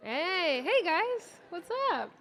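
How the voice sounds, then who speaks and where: A woman from Guatemala, a singer talking earnestly and gratefully to a concert audience between songs, with many pauses. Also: very high voice, very animated, fairly bright tone. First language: Spanish. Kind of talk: between-song stage talk. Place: concert stage in a large hall. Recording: live hall reverb with guitar behind.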